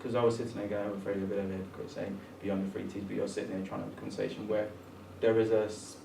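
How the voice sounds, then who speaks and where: A man's voice: on the low side, level tone, racing, vocal fry, noisy background. Searching man. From England, partly raised in Ghana. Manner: searching, lots of ums and restarts, chuckles. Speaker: guest programmer. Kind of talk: conversational discussion. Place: room at a public talk event. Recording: roomy, distant mic, faint ambience.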